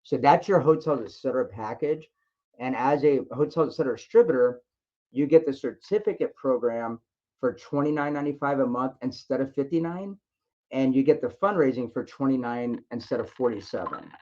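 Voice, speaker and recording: A man's voice: mid-range voice, brisk, warm tone. Man hosting a sales call, dull audio. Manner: repeats phrases, encouraging. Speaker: host of a distributor conference call. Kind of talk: sales call question-and-answer. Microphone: conference call line.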